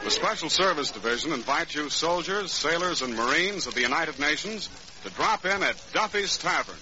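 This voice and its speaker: A man's voice: fairly high pitch, expressive, moderate pace. A man announcing a program in formal 1940s radio style. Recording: dull, noisy old radio recording.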